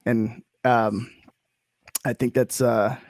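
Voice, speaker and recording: A man with a gravelly, creaky voice at an ordinary pitch hosts a relaxed video-call interview. He rambles, restarts his sentences and leans on fillers. The sound is dry and close. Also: slow pace, dry recording. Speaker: host.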